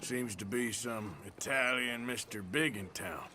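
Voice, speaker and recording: A man playing a gruff, sardonic American cowboy outlaw in a video game, with a rural Western drawl and blunt delivery. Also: medium pitch, measured pace, bright, forceful tone, a little hiss or hum. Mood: wry. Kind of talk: cinematic video game acting. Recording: music behind the voice.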